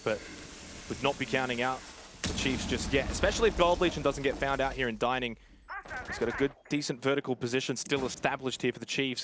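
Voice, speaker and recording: A man's voice: medium pitch, noisy background. A man giving live play-by-play and analysis of a competitive shooter game in a fluent, analytical way. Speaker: esports commentator. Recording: music behind the voice. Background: music.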